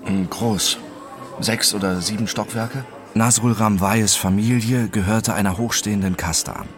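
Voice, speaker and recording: An actor playing an introspective, obsessive man who narrates his own story in a radio drama, deliberate in his delivery. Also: fairly low pitch, steady tone, over music, close to an everyday voice. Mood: halting, intent.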